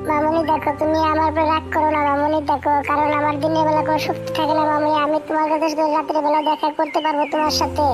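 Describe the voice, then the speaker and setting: A woman's voice: high voice, monotone, racing, few pauses, over music, lightly acted. A woman voicing a grieving mother bird turned ghost in a children's cartoon, pleading earnestly. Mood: tender, apologetic. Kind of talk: children's cartoon story voice acting.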